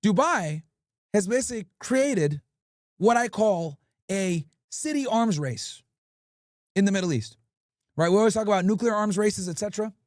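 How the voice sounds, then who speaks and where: Man, high voice, swings up and down a lot, profane streamer rant, dry close sound. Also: normal speed, no room sound. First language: English. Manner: brash, profane, animated storytelling. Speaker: livestream host. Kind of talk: profane livestream commentary.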